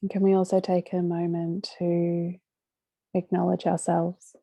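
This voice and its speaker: A woman guides a meditation in a very soft, dark-toned, relaxed voice with a gravelly edge of fry. She speaks gently and very slowly, leaving long pauses between short phrases. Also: on the low side, no echo. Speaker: meditation guide hosting a live session.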